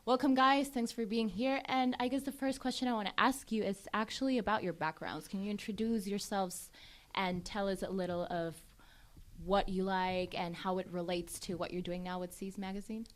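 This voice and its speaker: A woman's voice: mid-range voice, fairly even intonation, normal speed. A woman hosting a student radio interview, introducing guests and putting questions to them. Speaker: radio podcast host.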